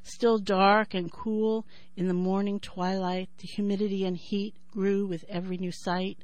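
A woman in her sixties with a New York accent who talks thoughtfully with many pauses and then reads her poem in a measured rhythm. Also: medium pitch, level tone, darkish tone, no echo. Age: 60s. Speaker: poet and writer. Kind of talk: interview and poetry reading.

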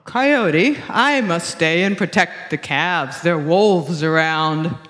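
A woman voicing a big, practical, grumbling buffalo in a measured, matter-of-fact way. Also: on the low side, fairly bright tone, big-room sound. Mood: firm.

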